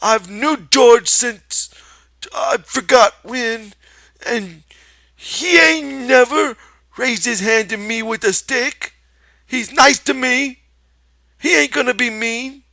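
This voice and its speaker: A man voicing a simple-minded giant farmhand who pleads in a high, strained wail with a rural drawl. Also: fairly even intonation, takes it slowly, creaky, sharp tone, dry recording, exaggerated voice. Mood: frantic.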